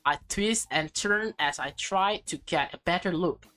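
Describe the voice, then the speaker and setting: Low-voiced woman reading a visual novel aloud. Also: unhurried, faint music behind. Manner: expressive reading broken by offhand commentary. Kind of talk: visual novel read-aloud with casual asides.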